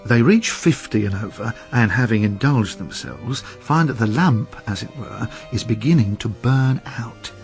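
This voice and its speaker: A man's voice: mid-range voice, animated, measured pace, few pauses, faint music behind. An actor playing a gossipy Roman onlooker in a radio drama, knowing and opinionated as he explains a grisly scandal. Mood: storytelling. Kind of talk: radio drama dialogue and storytelling.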